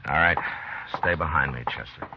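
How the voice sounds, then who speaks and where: Very deep-voiced, stern frontier marshal. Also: male voice, normal speed, creaky. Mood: urgent, resolute. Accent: American. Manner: terse, calm, authoritative. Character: frontier U.S. marshal, steady, stern, grave lawman. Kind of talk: old-time radio western acting. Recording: noisy, muffled old radio recording.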